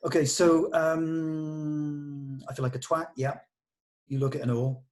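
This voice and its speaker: A man's voice: on the high side, little pitch movement, a little slow, a little mellow. Man thinking aloud in a script discussion. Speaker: script discussion leader at a table read. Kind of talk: informal creative discussion, suggesting dialogue.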